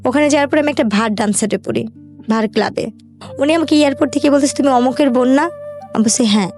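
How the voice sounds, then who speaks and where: A woman's voice: high-pitched, quick pace, darkish tone, soft background music. A young woman with a Bangladeshi accent tells her life story in an interview, colloquially, in run-on sentences with quoted conversations.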